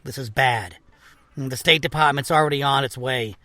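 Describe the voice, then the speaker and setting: An actor playing a brusque, prejudiced senior police officer in an audio drama, gruff and blunt. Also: male voice, medium pitch, moderate pace, sharp tone, dry recording, close to an everyday voice. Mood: grim, weary.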